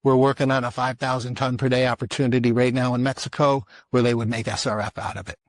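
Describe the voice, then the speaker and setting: A man's voice: mid-range voice, level tone, fast, no room sound, studio quality. A man wrapping up his part of a conference panel talk, restarting phrases as he speaks. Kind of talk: industry presentation.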